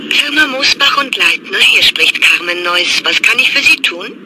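A woman's voice: a little high, sing-song, normal speed, heavy background noise. A woman playing an office receptionist answering the phone with a formal, friendly manner.

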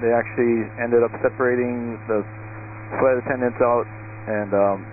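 A man speaking as an airline pilot over aviation radio in halting, hesitant phrases. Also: medium pitch, fairly even intonation. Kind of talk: air traffic radio calls. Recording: tinny narrowband cockpit radio, clear static hum.

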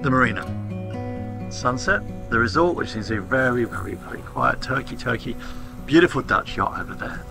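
A man's voice: mid-range voice, expressive, normal speed, vocal fry. Casual sailing vlog guy, 40s, rambly and wry, boat deck, music playing behind. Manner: chatty, wry, rambling asides. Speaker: sailing vlogger. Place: aboard a catamaran, outdoors and in the cockpit. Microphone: handheld camera close to face.

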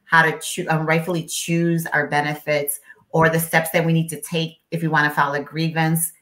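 Woman, low voice, first language Spanish, interpreter, emphatic, rhetorical questions, echoey video call. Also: little pitch movement, moderate pace, a bit bright. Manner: emphatic, explanatory, many rhetorical "right?". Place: home office.

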